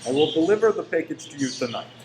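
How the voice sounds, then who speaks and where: A man's voice: a little high, very animated, quick pace. A man acting in a radio play as a polite, reassuring shop professor who is secretly a bomb-making conspirator. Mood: quietly conspiratorial. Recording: roomy echo with faint noise.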